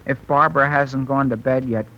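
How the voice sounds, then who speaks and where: A man's voice: medium pitch, fairly even intonation, fairly quick, lightly acted. An actor playing an earnest, flustered teenage boy who stammers and pleads in a 1930s radio comedy. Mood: pleading. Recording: noisy, dull 1930s radio recording.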